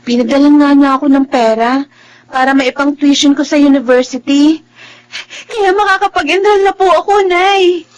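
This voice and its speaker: A Filipino woman playing a hopeful, trusting and stubborn young student in an expressive radio drama style. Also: sits high, normal speed, natural voice, low-quality recording. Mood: reassuring, pleading.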